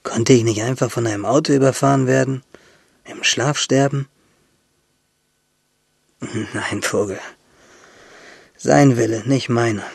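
Husky young man, bitter yet playful. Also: mid-range voice, fairly even intonation, unhurried, pauses often, no echo, studio quality. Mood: bitter, searching. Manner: intimate, musing, asks and answers himself. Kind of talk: radio drama monologue.

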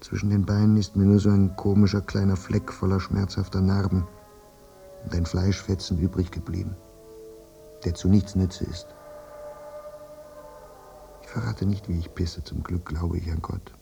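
An actor playing a haunted, war-maimed soldier who recalls the war in a reflective, self-questioning radio drama monologue. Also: male voice, low voice, level tone, normal speed, gravelly, pauses often, dark tone. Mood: bitter, confessional. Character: war-maimed soldier brooding over his memories, haunted, plain-spoken. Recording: muffled radio play recording with music. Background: music.

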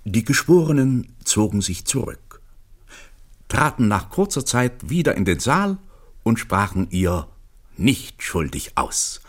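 A man narrating a literary radio play in a deliberate, measured storytelling manner. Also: fairly low pitch, sing-song, exaggerated voice.

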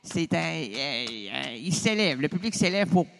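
A man's voice: on the high side, expressive, moderate pace, creaky, a bit bright. A middle-aged man with a Québécois French accent hosting a radio show, in theatrical and playful improvised banter that jumps between registers. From Quebec.

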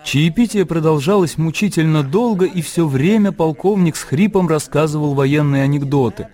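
A man's voice: fairly high pitch, moderate pace, dark tone. A man narrating a literary radio drama as scripted storytelling. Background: faint music.

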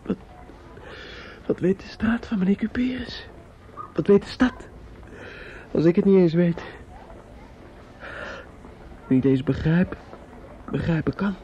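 An actor playing a grieving, bitter and desperate young man in a radio drama, halting and often dropping into whispers. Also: high voice, lively intonation. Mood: furious, despairing. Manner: halting, repetitive, drops into whispers. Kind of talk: radio drama monologue acting. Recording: dull, noisy old radio recording.

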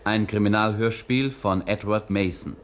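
A man's voice: fairly low pitch, fairly even intonation, moderate pace, a little hiss or hum. A man announcing the title of a 1960s German radio crime drama. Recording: old radio recording under loud music. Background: loud music.

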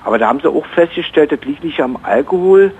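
A man's voice: fairly high pitch, lively intonation. Dull, noisy call from a rambling man. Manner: halting, searching for words. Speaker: caller to a radio phone-in. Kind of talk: rambling casual storytelling.

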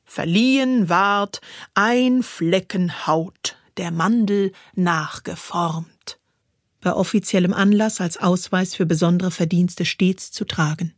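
A woman reads poetry in a measured, literary style. Her voice is slightly low and unhurried, recorded very close and dry with no room around it. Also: dry recording. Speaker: poetry performer. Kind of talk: literary spoken-word reading.

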